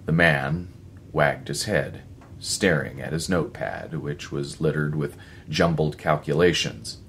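Very deep-voiced man narrating slowly. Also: a bit bright, a little hiss or hum. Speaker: voice actor, audiobook reader. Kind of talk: dramatic scripted story narration. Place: living room, indoors.

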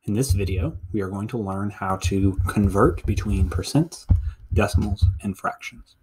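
A man's voice: on the low side, moderate pace, darkish tone, a little hiss or hum, rough recording. A man in his thirties with an American accent, a teacher explaining a lesson step by step in an instructional screencast. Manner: step-by-step explaining, counting aloud. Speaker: middle school teacher.

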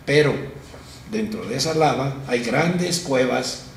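A man's voice: mid-range voice, expressive. Middle-aged guy breaking it down step by step, roomy studio. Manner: explanatory, measured, orderly. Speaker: guest. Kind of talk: studio talk show chat. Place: small TV studio, round table. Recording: roomy studio with faint noise.

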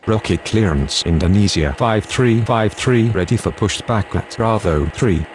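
Low-voiced man on aviation radio calls. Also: lively intonation, measured pace, a little hiss or hum. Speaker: radio voice in an air traffic control game. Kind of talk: scripted aviation radio phraseology.

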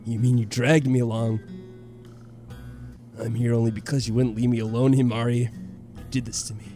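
A man's voice: mid-range voice, little pitch movement, normal speed, mellow, pitched below the performer's own voice, close to an everyday voice. A man plays a sullen young man from a visual novel. He grumbles bitterly and whines, sounding queasy and moody, over faint music.

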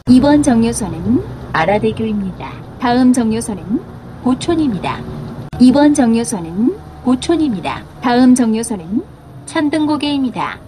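A woman delivers scripted bus stop announcements in a high voice. The recording carries audible background noise under her short, formal phrases.

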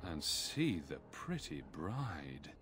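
A man's voice: low-pitched, very animated, takes it slowly, a bit bright, exaggerated voice. An actor playing an arrogant, lecherous young nobleman in a fantasy video game, with a sneering drawl. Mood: menacing, amused.

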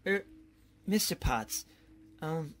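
A man's voice: fairly high pitch, animated, slow pace. A man playing a courtly, bumbling, genial college professor, polite and slightly pompous, in old-time radio comedy style. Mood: sheepish.

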